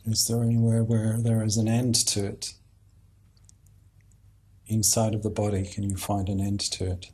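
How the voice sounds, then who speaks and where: A man leading a guided meditation as a teacher, speaking in an unhurried, halting way with long pauses. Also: on the low side, completely flat delivery, darkish tone, slightly rough.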